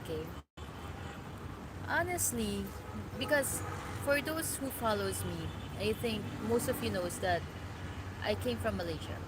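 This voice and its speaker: Filipino woman vlogging over loud background noise. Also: medium pitch, animated. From Philippines. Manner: conversational, halting, often checks in with "okay". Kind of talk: casual opinion talk to followers. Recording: loud background noise, vehicle and outdoor ambience.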